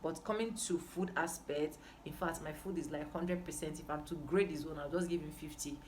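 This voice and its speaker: Low-pitched Nigerian woman vlogger, noisy background. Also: normal speed. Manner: emphatic, repeats phrases for stress. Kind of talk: casual chat to camera.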